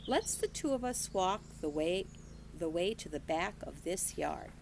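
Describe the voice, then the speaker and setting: Gentle elderly storybook woman, slow drawl, lively lilt; woman reader doing her. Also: lowish voice, gravelly, slight background noise. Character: old wise southern woman, gentle and patient.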